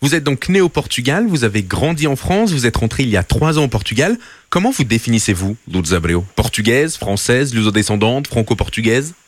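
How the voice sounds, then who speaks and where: Guy hosting a radio interview, formal polite questions, pitch moves around a lot. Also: lively intonation, brisk.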